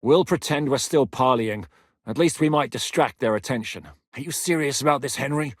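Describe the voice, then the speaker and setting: A man's voice: mid-range voice, dry recording, clean studio sound, natural voice. A man voicing a shrewd, commanding medieval Czech mercenary lord in a video game, speaking with blunt authority. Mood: low, plotting. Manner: authoritative, blunt, swings from measured to barking. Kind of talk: dramatic video game dialogue.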